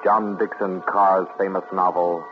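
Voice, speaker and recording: A man's voice: deep voice, flat delivery, unhurried. A man announcing a 1940s radio show from a script in a formal, dramatic, portentous manner. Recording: tinny 1940s radio broadcast recording. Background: loud music.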